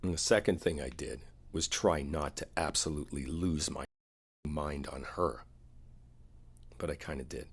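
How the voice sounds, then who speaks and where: Casting: male actor as earnest, hurt but devoted boyfriend for intimate roleplay audio; very low, husky voice, confiding delivery with pauses. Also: full character voice. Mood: subdued, hurt. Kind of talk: boyfriend ASMR roleplay, intimate one-sided dialogue.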